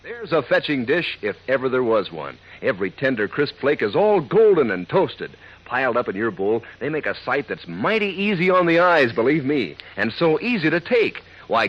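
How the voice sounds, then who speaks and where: A folksy male announcer pitches to young listeners in 1940s radio ad style. His voice is husky and swings widely in pitch, on a dull, old broadcast recording. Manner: chummy, folksy, emphatic. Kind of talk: 1940s radio cereal advertisement.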